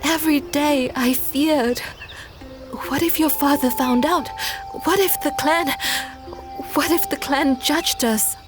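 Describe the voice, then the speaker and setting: An actress playing a gentle, anxious young woman in love, speaking hesitantly and tenderly in an audio drama. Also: high voice, takes it slowly, music in the background. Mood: anxious, confessing.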